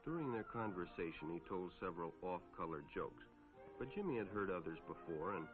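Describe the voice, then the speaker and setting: An actor playing an earnest police lieutenant, narrating a cautionary 1950s educational film in a measured, instructive way on a dull old soundtrack. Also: male voice, sits low, animated, music in the background. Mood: sober storytelling.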